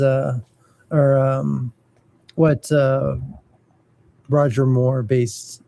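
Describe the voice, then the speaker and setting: Mellow, slow-talking man, hesitant and casual. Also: medium pitch, gravelly. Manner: hesitant, halting, restarts often. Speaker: podcast co-host. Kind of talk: casual chat.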